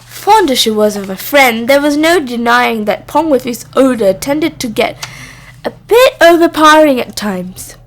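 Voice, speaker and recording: High-voiced woman reading a children's story. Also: lively intonation, measured pace. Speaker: storybook reader. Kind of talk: expressive scripted narration of a children's story.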